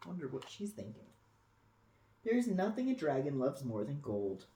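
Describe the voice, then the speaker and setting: A storyteller reading a picture book aloud expressively for children, with dramatic pauses and cooing asides. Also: mid-range voice, sing-song, warm tone. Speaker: storybook reader. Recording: echoey room.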